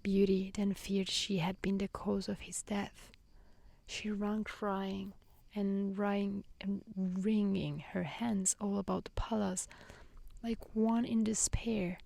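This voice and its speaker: Whispery, very creaky woman storyteller. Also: medium pitch, level tone, slow, no room sound. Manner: gentle, hushed, often near whisper. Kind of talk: soft-spoken ASMR storybook narration.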